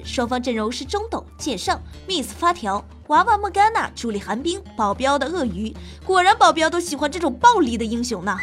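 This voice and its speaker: A woman host reading scripted gaming narration in a playful, dramatic storytelling manner. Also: high-pitched. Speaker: game show host and streamer. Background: background music.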